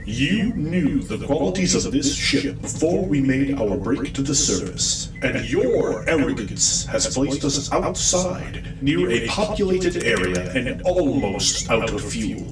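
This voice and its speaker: Man as a slow, echoing menacing robot commander. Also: mid-range voice, big pitch swings, noisy background. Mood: threatening. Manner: stern, deliberate, menacing. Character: menacing male chrome robot commander, cold and threatening. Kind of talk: audio drama villain acting. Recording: echoey and distant, music underneath.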